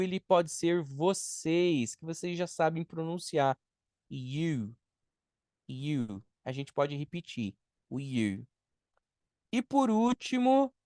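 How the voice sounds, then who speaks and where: Brazilian male language teacher, native Portuguese speaker. Smooth, unhurried, didactic delivery in a dry, close sound. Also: on the high side, dry recording. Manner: didactic, step-by-step with repetition and check-in tags. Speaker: English teacher. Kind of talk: online beginner language lesson.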